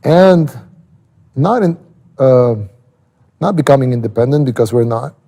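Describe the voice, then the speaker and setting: Mellow, gravelly man with a Salvadoran Spanish accent. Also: medium pitch, expressive. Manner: halting, repeats words while thinking. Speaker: interviewee. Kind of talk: casual interview explanation.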